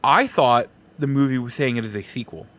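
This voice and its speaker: A man's voice: mid-range voice, brisk, a bit bright. A man hosting a podcast, talking through a casual, rambling review in a conversational way. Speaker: podcast host. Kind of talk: casual movie review monologue.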